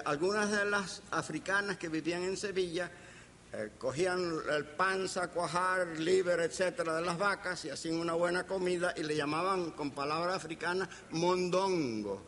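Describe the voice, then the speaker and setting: A reverberant hall carries the voice of an older male professor with a Caribbean Spanish accent, over some faint noise. His voice sits a little high for a man. He lectures slowly and deliberately, with pauses and playful, anecdotal turns. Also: a bit bright. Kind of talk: anecdotal academic lecture to an audience. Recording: reverberant hall, background hiss.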